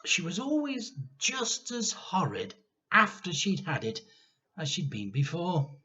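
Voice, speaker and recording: Casting: male children's story reader, unhurried and highly expressive, dramatic pauses, slightly dull home-grade recording. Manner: dramatic emphasis with deliberate pauses. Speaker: teacher reading aloud.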